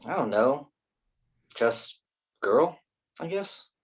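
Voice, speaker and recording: Guy acting blunt picky man in a stage drama, husky voice, natural questioning delivery. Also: mid-range voice, big pitch swings, extremely slow, full character voice. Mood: nitpicking. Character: blunt, nitpicking man quizzing a filmmaker. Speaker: actor in a staged play reading. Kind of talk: naturalistic staged play reading.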